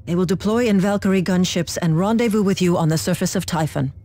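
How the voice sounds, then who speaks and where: An earnest military aide from a sci-fi video game. His voice is quite high for a man, and his delivery is formal and dutiful. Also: quick pace, dark tone. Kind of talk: video game mission dialogue.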